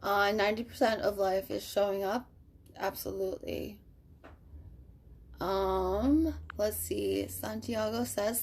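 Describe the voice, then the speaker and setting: A woman's voice: mid-range voice, darkish tone. A woman in her thirties hosting a casual live stream from home. She reads viewer comments aloud in an upbeat, chatty way with many fillers. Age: 30s. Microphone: webcam or phone on a live video.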